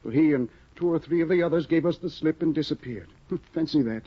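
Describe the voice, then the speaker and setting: A flustered, fussy young scholar. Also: male voice, fairly high pitch, brisk, husky. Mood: fretful. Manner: flustered, fussing, exclaiming. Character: fussy excitable young male scholar husband. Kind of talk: 1950s radio drama acting. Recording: dull lo-fi 1950 radio broadcast.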